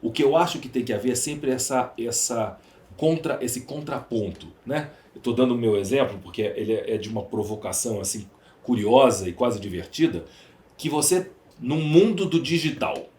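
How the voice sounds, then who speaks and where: A man's voice: medium pitch, expressive, moderate pace, darkish tone. Brazilian guy being interviewed, echoey room, talks emphatic and repeats stuff to make his point. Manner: emphatic, rhetorical, repeats phrases for effect. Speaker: podcast guest, entrepreneur. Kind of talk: conversational interview, storytelling and opining. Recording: roomy, echoey sound.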